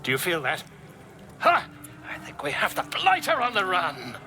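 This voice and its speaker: Excitable, high-pitched theatrical bard. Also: male voice, normal speed, soft background music, full character voice. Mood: gleeful, absorbed. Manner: theatrical, flowery speech. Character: eccentric, bungling male bard playing surgeon, blithely overconfident. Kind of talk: video game character acting.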